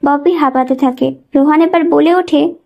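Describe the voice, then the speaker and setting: A woman's voice: high voice, fairly even intonation, moderate pace, creaky, highs cut off. A woman reading a scripted romantic story as a dramatic narrator.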